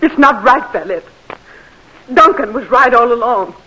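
A woman's voice: a little high, expressive, a little slow, bright, forceful tone, heavily acted, low-quality recording. An actress playing a grieving older Southern mother who is crying, tearful and pleading, in a 1940s radio drama.

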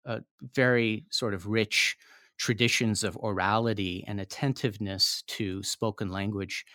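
Hesitant low-voiced academic man. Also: fairly even intonation, unhurried, sharp tone, no echo, studio quality. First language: not Japanese; learned Japanese as foreign language. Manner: hesitant, many fillers and restarts. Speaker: author guest. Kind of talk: academic interview answer.

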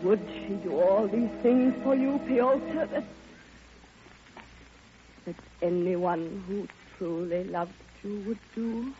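Woman acting lonely heartbroken lady, impassioned radio monologue, drawn-out phrasing, dull vintage sound, swelling music. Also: mid-range voice, close to an everyday voice. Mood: mournful. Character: grieving, lonely, devoted woman recalling her beloved friend. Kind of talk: old-time radio drama monologue. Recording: dull old radio recording, loud music.

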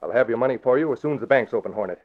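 A man's voice: mid-range voice, animated, rapid-fire, natural voice. A man playing a blustering, scheming crook. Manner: blustering, agitated. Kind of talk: 1940s radio acting. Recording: dull old radio recording.

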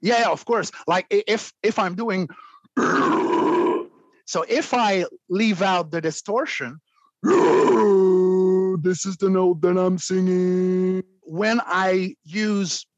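A man, a guest vocalist, explaining extreme singing in a conversational interview, clearing his throat often between demonstrations. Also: high voice, drawn-out words, dry recording, muffled recording.

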